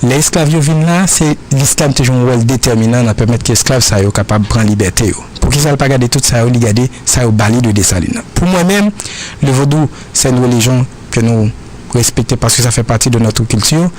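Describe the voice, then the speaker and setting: A man's voice: medium pitch, darkish tone, a little hiss or hum, low-quality recording. Earnest Haitian man answering questions. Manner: earnest, repetitive, halting phrasing.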